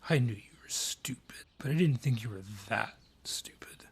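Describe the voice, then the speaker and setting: A man playing a haughty, sly dryad who rules a forest, taunting and condescending in a breathy, husky voice, in a fantasy audio roleplay. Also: mid-range voice, animated, moderate pace. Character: haughty, sly male dryad ruling a forest, menacing and mocking.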